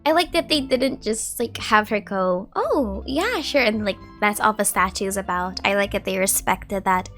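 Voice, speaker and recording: A woman's voice: medium pitch, lively intonation, moderate pace, hardly any pauses, fairly bright tone, soft background music. A woman who is sick with a cold, giving casual commentary as she plays a game. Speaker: streamer, gameplay commentator.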